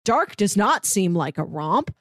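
A woman's voice: mid-range voice, big pitch swings, unhurried, dry recording. Chatty woman podcaster, close dry sound. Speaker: podcast co-host. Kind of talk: casual podcast chat.

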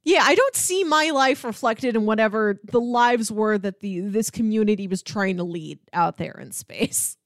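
A woman's voice: mid-range voice, normal speed, hardly any pauses, dry recording, clean studio sound. A woman podcast co-host in casual film discussion, thinking aloud and talking through examples in a conversational way.

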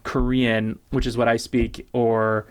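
A man being interviewed as a guest on a podcast, speaking casually and thoughtfully, repeating words and slowing down to stress key points. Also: medium pitch, little pitch movement, clear, resonant tone. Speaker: podcast guest, branding expert.

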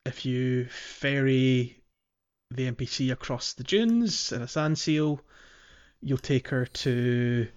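A man's voice: mid-range voice, slow pace, clean tone. Man podcast host, slightly dull lo-fi sound. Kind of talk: casual podcast game discussion.

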